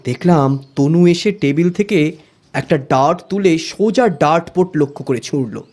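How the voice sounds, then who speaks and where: A man's voice: on the high side, moderate pace, darkish tone, lightly acted. A man narrating an audio story expressively in the first person, as the detective's friend. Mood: suspenseful.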